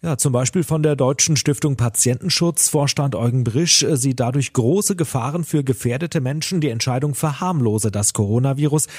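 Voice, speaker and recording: A man's voice: medium pitch, moderate pace, few pauses, darkish tone, breathy edge, no room sound, clean studio sound. A man, a radio news editor, explaining the news in an informal way. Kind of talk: explanatory news talk with the presenter.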